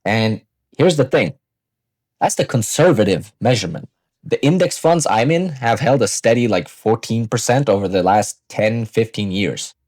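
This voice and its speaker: Young guy early 20s, lowish voice, blunt vlogger pep talk, swears a bit, dry close sound. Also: expressive, normal speed, darkish tone, no room sound. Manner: blunt, assertive, swears casually. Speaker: finance vlogger. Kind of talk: casual motivational monologue to viewers.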